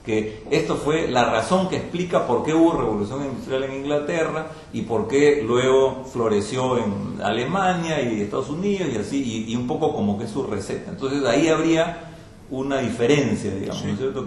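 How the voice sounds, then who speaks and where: A man's voice: mid-range voice, expressive, brisk, a little mellow. A man commenting on a book at a public panel, speaking hesitantly, repeating words and adding rhetorical tag questions. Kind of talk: spoken commentary at a public event. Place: institute event hall. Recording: very echoey lecture room, faint noise.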